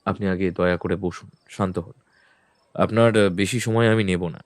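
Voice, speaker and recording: A man playing a calm, probing detective who questions people in a measured, firm way. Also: deep voice, no room sound, close to an everyday voice. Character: calm probing male detective, middle-aged. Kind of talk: audio drama acting. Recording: faint background music.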